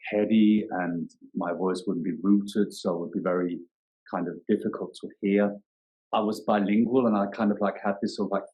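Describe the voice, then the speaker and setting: Deep-voiced man, thoughtful. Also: a little mellow, poor audio. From Denmark. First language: Danish. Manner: thoughtful, searching for words, many restarts. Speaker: yoga teacher and guest. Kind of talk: reflective podcast interview.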